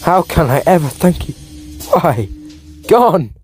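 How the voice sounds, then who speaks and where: A shaken, earnest man marked for murder, as a male narrator acts him speaks in a raised pitch. He exclaims in comic-book audiobook fashion over background music. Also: very animated, slow pace, darkish tone. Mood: grateful, amazed. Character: frightened, grateful male intended murder victim.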